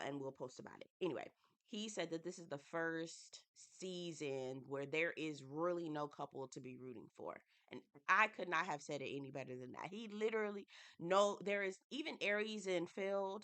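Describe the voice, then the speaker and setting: Stuffy-nosed woman w a low voice, chatty tv recap, y'all this y'all that. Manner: animated, playful, Southern-inflected slang, addresses viewers as y'all. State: congested with a head cold. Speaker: YouTube reality TV reviewer. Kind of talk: casual chatty TV review.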